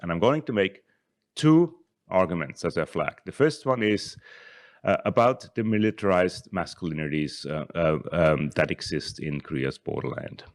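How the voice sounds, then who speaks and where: Deep-voiced older man lecturing. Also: expressive, no room sound. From Switzerland. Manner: thoughtful, halting, repeats and restarts words. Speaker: conference speaker, scholar. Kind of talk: academic conference talk with slides.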